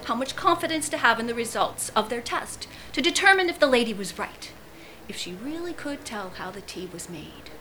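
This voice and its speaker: A middle-aged wife in an audio drama, arch and deliberate, needling her friend with pointed politeness. Her voice is bright and forward, with music underneath. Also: on the high side, lively intonation, rough recording. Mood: hostly, pointed.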